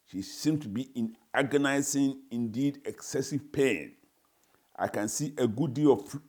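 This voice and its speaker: A man's voice: mid-range voice, darkish tone, slightly rough. Indignant newborn for a dramatized story, wordy and pompous, Ghanaian accent, played by a man. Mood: pompous, aggrieved. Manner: formal, pompous, florid phrasing. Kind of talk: dramatized first-person reading.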